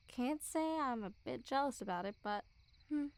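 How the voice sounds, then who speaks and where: A woman's voice: high-pitched, lively intonation, slow, full character voice. A young woman playing a smug, mocking school bully girl who teases with a hint of care, in an intimate ASMR roleplay. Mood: grudging, softer. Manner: mocking, teasing, giggly. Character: teasing, smug school bully girl, secretly a little caring. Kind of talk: ASMR girlfriend roleplay, intimate one-sided dialogue.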